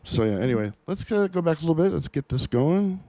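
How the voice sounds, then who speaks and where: Earnest, halting man in his forties. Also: a little high, big pitch swings, heavy background noise. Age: 40s. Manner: earnest, halting, many fillers and restarts. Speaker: music reaction host. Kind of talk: unscripted opinion monologue.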